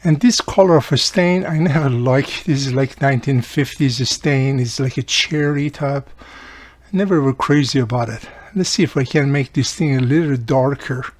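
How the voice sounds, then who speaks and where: A man's voice: mid-range voice, moderate pace. Chatty man thinking aloud through a tutorial. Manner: chatty, thinking aloud, often addressing the viewer. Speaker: host of a radio repair tutorial channel. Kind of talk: casual hands-on tutorial narration.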